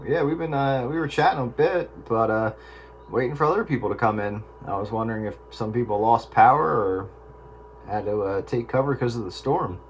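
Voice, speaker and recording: A man's voice: mid-range voice, fairly quick, dull audio. A man chatting casually as a radio operator over a two-way radio. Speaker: amateur radio operator on an on-air roundup. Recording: noisy two-way radio with static.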